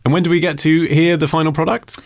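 A man's voice: a little high, level tone, fast talker. Dry, teasing British man hosting a podcast. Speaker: podcast host. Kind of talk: casual podcast banter.

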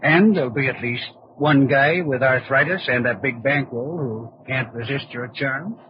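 A man's voice: medium pitch, moderate pace, natural voice. Scheming male swindler and killer, played by an actor, slangy and cocky, vintage radio drama sound with dull top end and faint noise. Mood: smug, scheming. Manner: slangy, breezy tough-guy talk. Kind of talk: old-time radio crime drama acting. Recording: muffled, noisy old radio drama recording.

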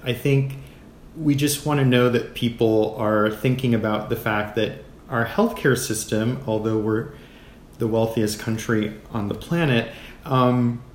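An American man speaking as an interview guest in a measured, thoughtful way, pausing between short phrases. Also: mid-range voice. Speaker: podcast guest, physician. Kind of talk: reflective interview talk. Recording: roomy echo, clear background noise.

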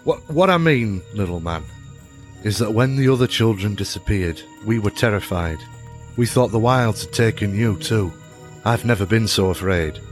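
A man playing a worried, tender father in an audio drama. His voice is low and he speaks earnestly, reassuring a small child. Music plays underneath. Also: lively intonation, moderate pace, natural voice. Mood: anxious, tender. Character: gentle, worried father soothing his young son.

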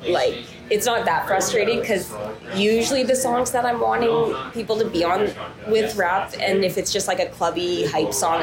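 A woman rapper being interviewed, talking casually with lots of fillers and restarts. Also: on the low side. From Canada. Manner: chatty, many fillers and restarts. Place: downtown coffee shop. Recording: busy coffee shop chatter behind.

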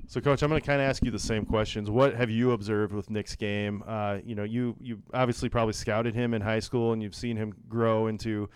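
A man's voice: fairly low pitch, little pitch movement, a little fast, hardly any pauses, smooth, no echo. A man hosting an interview, asking friendly, conversational questions. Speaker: interview host. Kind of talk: podcast-style interview.

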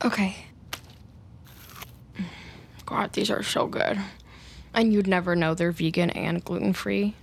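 A woman's voice: medium pitch, fairly even intonation, slow pace, gravelly, long pauses, breathy edge, full character voice. An actress playing a nervy, scheming female office worker with a sly edge in an audio drama. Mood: casual, sly. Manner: chatty, hesitant, mild minced swearing. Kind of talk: naturalistic audio drama acting.

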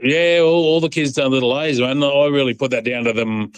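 A man's voice: medium pitch, steady tone, fairly quick, clean tone, no echo. A middle-aged Australian man chatting casually as an interview guest, in a laid-back, rambling, colloquial way. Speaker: interview guest, proud sporting dad. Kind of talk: casual phone-in chat.

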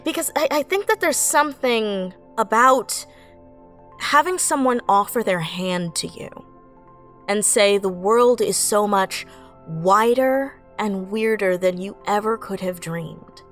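A woman's voice: medium pitch, slow pace, fairly bright tone, soft background music. A woman in her late twenties speaking earnestly and effusively as a writer in a reflective interview. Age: late 20s. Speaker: audio drama writer and voice actor.

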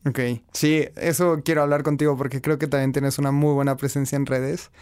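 A man's voice: medium pitch, little pitch movement, fairly quick, darkish tone, clean tone, dry recording. A man hosting a podcast interview in a studio, chatting and asking questions in a friendly way. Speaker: podcast host. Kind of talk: casual interview.

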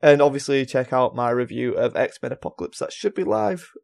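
A man's voice: mid-range voice, animated, fairly quick, gravelly, darkish tone. A man chatting casually as a co-host on a group podcast.

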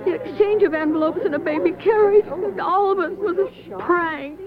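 A woman's voice: very high voice, moderate pace, a crowd in the background. A woman acting an emotive, chattering wife in her thirties in an old-time radio drama. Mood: grieving, resigned. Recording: thin phone-like vintage radio, music behind.

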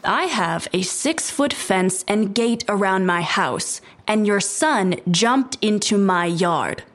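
An actress playing a defiant neighbor who is sarcastic and defensive, in an audio drama. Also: female voice, medium pitch, expressive, takes it slowly. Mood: defensive, irritated. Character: defiant, sharp-tongued female neighbor and dog owner.

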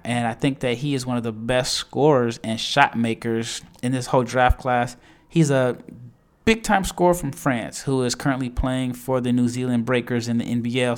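A man talks through basketball draft picks at an even middle pitch. His delivery is chatty and enthusiastic. He often restarts his sentences as he goes. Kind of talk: solo mock draft breakdown.

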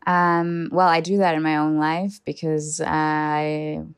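A low-pitched young woman, born and raised in Germany, talks through her ideas slowly and reflectively. She often circles back to find the right word. The sound is dry and close. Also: little pitch movement, clean tone, no echo. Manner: thoughtful, measured, searching for words. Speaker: poet guest. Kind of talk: reflective conversation.

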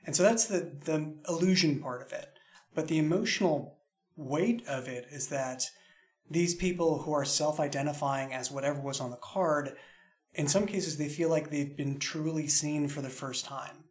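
A man's voice: fairly high pitch, moderate pace. A man speaking as an audio drama creator in a roundtable interview, explaining things in a reflective, discursive way.

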